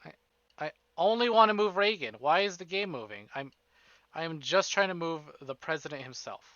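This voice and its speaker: A man hosting a casual livestream, chatting in an excitable, playful, profane way. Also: a little high, normal speed, no room sound. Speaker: livestream host. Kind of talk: casual streaming chat between visual novel readings. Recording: dull lo-fi stream audio under music. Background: prominent music.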